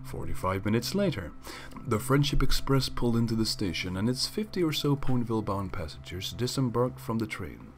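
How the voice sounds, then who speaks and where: A man narrating a fan story in a lively, expressive reading voice. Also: fairly low pitch, a little fast. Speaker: fanfic reader.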